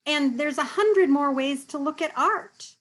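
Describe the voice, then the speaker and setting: A woman's voice: high-pitched, a little slow, a bit bright, pitched above the performer's own voice. A woman voicing a young girl artist, earnest and hopeful, in a read-aloud storybook.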